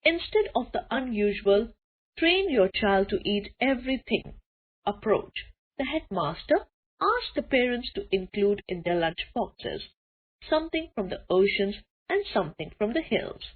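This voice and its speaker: A woman's voice: mid-range voice, very animated, measured pace, slightly rough, narrow, tinny audio. A woman narrating a scripted children's story expressively, in the manner of a storyteller.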